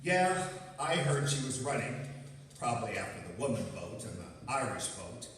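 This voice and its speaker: A man's voice: medium pitch, very animated, moderate pace, a bit bright. A man playing a stubborn, cocksure small-town candidate in a live radio play. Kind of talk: live radio theatre acting. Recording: very echoey noisy hall, distant mic.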